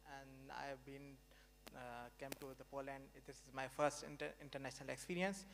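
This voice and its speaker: A man's voice: medium pitch, fairly even intonation, moderate pace, vocal fry, fairly bright tone, a little hiss or hum. A young man with a Pakistani accent introducing himself informally as a student panelist. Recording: reverberant hall over microphone.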